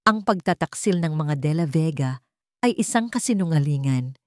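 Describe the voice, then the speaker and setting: A woman's voice: low voice, animated, moderate pace, no echo, clean studio sound. A woman voicing a frightened mother whose fearful, urgent confession is part of a story drama. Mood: fearful, confessing. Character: frightened mother writing a confessional warning letter. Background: background music.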